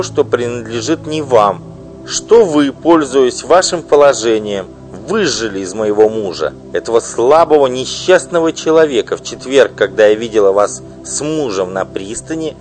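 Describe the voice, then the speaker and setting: A man voicing an indignant wronged wife who pleads and accuses in a high, loud voice for an audiobook. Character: indignant wronged wife, middle-aged woman. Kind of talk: audiobook reading.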